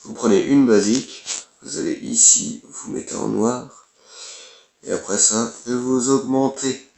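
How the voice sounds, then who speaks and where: A man's voice: medium pitch, unhurried, vocal fry, darkish tone, a little hiss or hum. A man, who has a cold, giving casual, blunt let's play commentary as a gaming YouTuber and swearing offhandedly.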